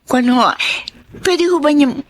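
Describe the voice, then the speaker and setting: A woman's voice: high-pitched, moderate pace, close to an everyday voice. An actress playing an anxious, timid young Filipino wife and mother in a radio drama, speaking hesitantly and deferentially. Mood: polite. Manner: hesitant, soft-hearted.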